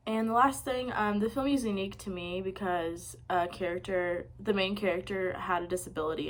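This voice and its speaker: A young woman giving a casual, unscripted film review, talking in a rushed way full of "like" and "um". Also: fairly low pitch, a little hiss or hum. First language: English. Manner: rushed, lots of "like" and "um" fillers.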